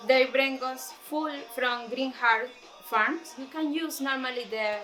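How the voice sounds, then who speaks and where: A woman's voice: high voice, unhurried, bright, forceful tone, soft background music, poor audio. A woman from Venezuela with a Venezuelan Spanish accent, a chef cheerfully co-hosting a cooking demonstration in English. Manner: cheerful, laughs often.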